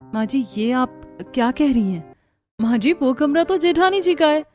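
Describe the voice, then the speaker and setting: Lady doing young cartoon bahu, meek n put-upon, plaintive high voice, light music behind. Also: animated, measured pace, a little mellow. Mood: meek protest. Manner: plaintive, deferential. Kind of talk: Hindi cartoon story voice acting.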